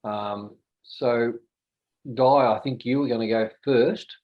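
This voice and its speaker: A man with an Australian accent hosting an online workshop, relaxed and hesitant with ums. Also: mid-range voice, slow pace. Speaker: workshop host. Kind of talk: online meeting introduction. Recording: dull lo-fi video call audio.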